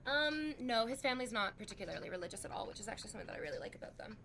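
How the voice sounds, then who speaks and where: A woman's voice: on the high side, animated, rapid-fire, gravelly, fairly bright tone, slight background noise. A woman in her thirties, a streamer chatting casually with her viewers, rambling frankly with lots of "like". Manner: rambling, frank, heavy use of "like". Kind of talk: casual chat with live chat.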